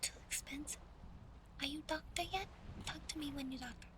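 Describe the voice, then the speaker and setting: Woman putting on a high, nagging Chinese mom. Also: fairly quick, natural voice. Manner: scolding, clipped broken-English questions. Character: strict, nagging Chinese mother, demanding and blunt. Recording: clearly audible ambient background noise.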